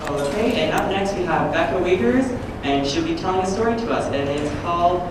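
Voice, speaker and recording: A man's voice: high voice, expressive, a little fast. A man acting as concert emcee, announcing the next act to an audience. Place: large hall at a school concert. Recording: echoey hall, distant mic, noisy.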